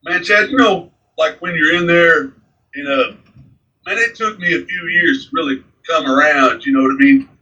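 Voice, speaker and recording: Forties guy, bright sharp voice, kinda far from the mic, rambles with lots of "man". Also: a little high, moderate pace, muffled recording. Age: 40s. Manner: rambling, frequent fillers like "man" and "you know". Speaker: guest. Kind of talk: casual interview.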